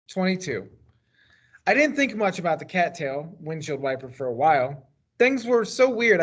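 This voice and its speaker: Higher-pitched American man reading aloud, slightly muffled. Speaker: teacher reading a book aloud. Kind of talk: read-aloud storytelling of a children's novel. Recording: dull, lo-fi sound.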